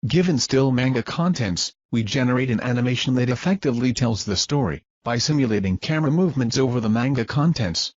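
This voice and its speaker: A man with a slightly husky voice delivers formal, scripted research narration. He reads in a measured, expository way at a middling pitch. The recording is dry and a little dull. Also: animated, no echo. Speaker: research presenter.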